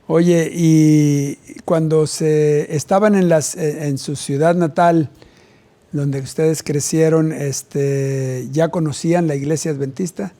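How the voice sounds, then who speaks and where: A man's voice: fairly high pitch, steady tone, takes it slowly, warm tone. A man hosting a televised interview, asking short follow-up questions and echoing his guest's answers. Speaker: interviewer and show host. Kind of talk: warm conversational TV interview.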